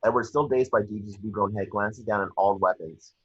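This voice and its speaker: A man's voice: fairly low pitch, brisk, husky, no room sound. A man reading scripted action descriptions aloud as narrator of a film table read, occasionally stumbling. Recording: dry, dull lo-fi home recording.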